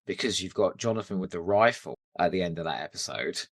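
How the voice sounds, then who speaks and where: Animated, low-pitched British man. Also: fast, dry recording, clean studio sound. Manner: expressive, emphatic. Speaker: podcast co-host. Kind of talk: casual chat.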